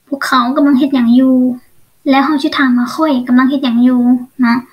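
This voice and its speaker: A woman's voice: sits high, fairly even intonation, fast talker, muffled recording. A woman with a Lao accent teaching English in an online lesson, explaining step by step and often asking check questions.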